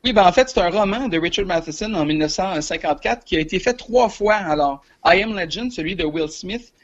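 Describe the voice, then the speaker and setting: A man's voice: sits high, animated, fairly quick. Quebec man, dull lo-fi line. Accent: Quebec French. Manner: hesitant, many filler sounds, chuckles. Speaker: film columnist. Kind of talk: casual radio chat.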